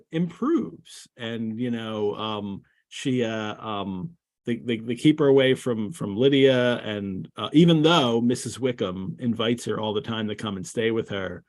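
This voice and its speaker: A man's voice: mid-range voice, darkish tone. An older man talks over a video call, his voice rising and falling widely as he goes. He stops and restarts often, filling the gaps with uh and um. It is an easy, unscripted conversation about a book. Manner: halting, many fillers and restarts. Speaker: cohost. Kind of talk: casual chat about a novel. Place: home room with bookshelves.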